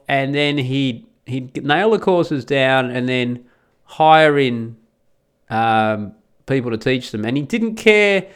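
A man's voice: on the high side, fairly bright tone, clean tone. An Australian man talking in a blunt, chatty way, with lots of restarts and the odd swear word, giving advice in a casual monologue.

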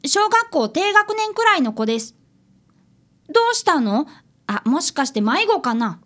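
A woman's voice: high-pitched, sing-song, normal speed, a bit bright. A woman playing an earnest, polite fifth-grade boy detective apprentice in a radio drama, who also narrates. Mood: polite, curious.

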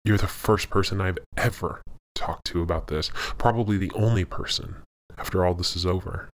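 Man playing an anguished deep-voiced demon. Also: a little hiss or hum. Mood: anguished, conflicted. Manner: halting, quiet. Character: anguished seven-foot centuries-old male demon, conflicted, confessing. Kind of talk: audio fiction dialogue.

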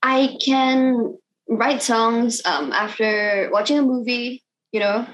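A young woman from Malaysia, Cantonese by birth, talks through her answers softly and with some hesitation. Her voice sits somewhat high. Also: takes it slowly, slight background noise, low-quality recording. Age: 20s. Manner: soft-spoken, hesitant with many ums. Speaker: singer guest. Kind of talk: interview answers.

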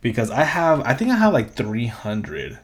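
A man's voice: mid-range voice, huge pitch swings, normal speed. A young man hosting a solo podcast, talking in a casual, rambling way, swearing freely and laughing often. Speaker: podcast host.

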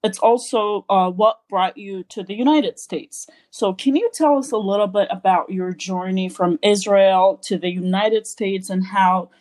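A woman's voice: mid-range voice, a little slow, hardly any pauses, a little mellow. A woman podcast host carefully reading out a long prepared interview question.

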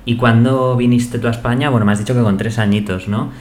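A man's voice: medium pitch, fairly even intonation, fast, a little mellow, reverberant, a little hiss or hum. A man hosting a podcast, friendly and easygoing, asking questions and summing up what his guest says. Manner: friendly, asks questions and sums up. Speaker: podcast host. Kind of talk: casual podcast interview.

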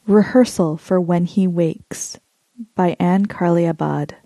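Woman, lowish pitch, warm muted tone, measured poetic monologue, dry recording. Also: no echo. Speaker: poetry reader. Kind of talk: measured dramatic-monologue poem reading.

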